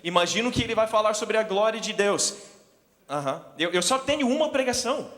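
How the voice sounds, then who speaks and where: A man in his forties with an American accent, a native English speaker, preaching through personal stories in a self-deprecating, halting way. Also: high-pitched, animated, fairly bright tone. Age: 40s. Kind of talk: sermon with personal storytelling. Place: large indoor hall, speaking to an audience. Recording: long hall reverb from PA.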